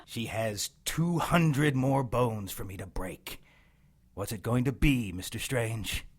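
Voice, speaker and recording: A man's voice: medium pitch, slow. A man playing a sadistic, smirking villain in an audio drama, with a theatrical, taunting delivery. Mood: coldly taunting. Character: sadistic, smirking villain, a gloating male tormentor.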